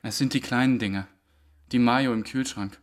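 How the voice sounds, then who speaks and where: Radio drama: contrary grieving man, played by an actor, medium-pitched, clipped and wounded delivery. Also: fairly even intonation, normal speed, close to an everyday voice. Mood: brooding. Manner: terse.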